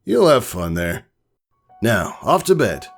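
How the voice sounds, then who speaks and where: A man voicing a fatherly, easygoing male pegasus in a story reading, soft and encouraging. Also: on the low side, sing-song, takes it slowly, gravelly, music in the background, voice pushed up, heavily acted.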